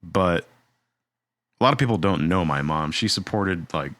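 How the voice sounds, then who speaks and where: Deep-voiced man chatting casually. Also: normal speed, no room sound. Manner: relaxed, rambling, many fillers and restarts. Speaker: podcast co-host. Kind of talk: casual chat.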